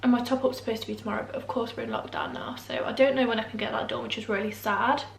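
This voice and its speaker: Young woman, vlogger, higher voice, slightly nasal from a cold, rambling chat, echoey room. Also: fairly even intonation, fast talker, creaky, a little hiss or hum. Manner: rambling, self-deprecating, lots of fillers. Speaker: beauty vlogger. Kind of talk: casual chatty vlog. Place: room at home, indoors.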